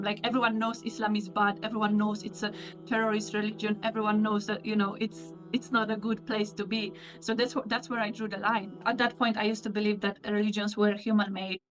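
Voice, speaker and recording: A young woman speaks candidly about her own life. Her voice sits at a middle pitch. She talks reflectively, pausing to think as she goes. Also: poor audio. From Poland. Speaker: interview guest. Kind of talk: personal conversion story.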